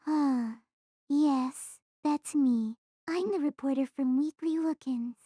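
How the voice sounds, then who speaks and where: A woman's voice: sits high, takes it slowly, no room sound, clean studio sound. A woman voicing a cheerful, eager young female reporter in an audio drama. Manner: bright, eager. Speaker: voice actor. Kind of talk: fan audio drama acting.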